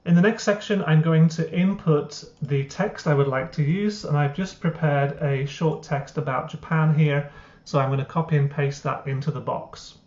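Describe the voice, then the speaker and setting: A man, the founder of a language app, walking through his software step by step in an explanatory way, with frequent uh and um. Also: on the high side, steady tone, moderate pace. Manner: explanatory, many uh and um fillers. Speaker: software founder giving a tutorial. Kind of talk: screen-recorded software walkthrough. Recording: roomy, dull lo-fi.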